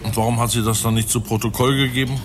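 An actor in a radio play, playing a dry, methodical police detective who reasons in a wry, reflective way. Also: male voice, medium pitch, little pitch movement, fast talker, noisy background, natural voice. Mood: dry, pondering. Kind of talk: naturalistic German radio play acting.

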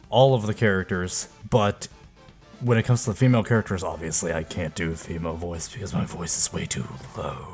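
A man's voice: low voice, a little mellow. A young man in his twenties chatting casually and self-deprecatingly into a headset mic in a small room. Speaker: YouTuber doing game voice impressions. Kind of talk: casual vlog chat. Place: small room indoors at a desk.

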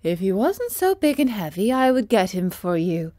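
A woman voicing a kindly, helpful mother stork in a storybook, with a sharp, partly whispered delivery. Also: mid-range voice, very animated, moderate pace, no echo, clean studio sound, close to an everyday voice. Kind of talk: storybook character voice.

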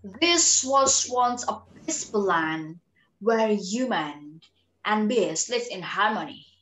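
Woman playing an arrogant, animated young female film star. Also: medium pitch, slow. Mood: solemn narrating. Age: young adult. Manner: haughty, sarcastic, self-important. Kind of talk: amateur dramatic reading. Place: small room at home, indoors. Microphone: video call. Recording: roomy video-call sound.

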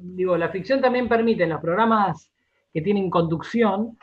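An Argentine man being interviewed on radio, explaining in a halting, conversational way and often repeating his words. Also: high voice, highs cut off. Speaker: interview guest, radio drama director and writer.

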